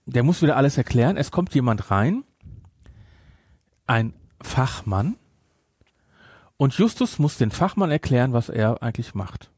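A man's voice: medium pitch, sing-song, darkish tone, slightly rough, no room sound. German man chatting, very dry close sound. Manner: rambling, explanatory, halting with self-corrections. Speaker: podcast co-host. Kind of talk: casual film discussion chat.

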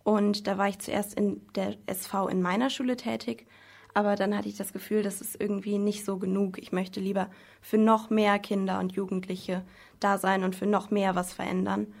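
Young female student rep, teens, earnest explainer, mid-pitched with some vocal fry. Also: level tone, normal speed, a little mellow. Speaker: student council representative being interviewed. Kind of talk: interview answers in simple explanatory language.

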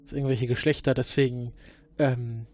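Man playing a formal, halting investigator. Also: mid-range voice, flat delivery, a little mellow, natural voice. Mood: probing. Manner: halting and formal, many fillers, probing questions. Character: formal male time-travelling agent investigator. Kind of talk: improvised murder-mystery roleplay.

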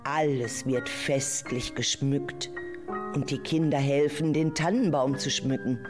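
A woman narrating a children's audio play as an expressive storyteller, with exclamations and questions put to the listener. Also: rumbling low, unhurried. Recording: loud music behind the voice. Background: music.

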